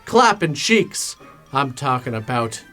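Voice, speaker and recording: A man's voice: medium pitch, very animated, slow pace, a bit bright, faint music behind. A man narrating a comedic film recap in a sarcastic, mock-dramatic and casually crude way. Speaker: host of a film commentary show.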